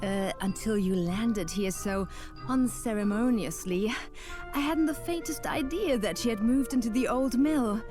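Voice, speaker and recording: An actress voicing a calm, friendly witch who lives alone in the woods, in a game cutscene. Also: a little high, measured pace, music in the background, close to an everyday voice. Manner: calm, explaining. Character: young woman, a hermit witch, calm and friendly.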